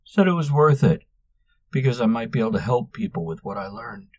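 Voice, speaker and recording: A man voicing a sulky young man who keeps insisting on his name, with a lively, insistent delivery. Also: medium pitch, a little fast, vocal fry, breathy edge, no echo, highs cut off, voice pushed up, heavily acted. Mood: sulky, weary. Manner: exasperated, insistent.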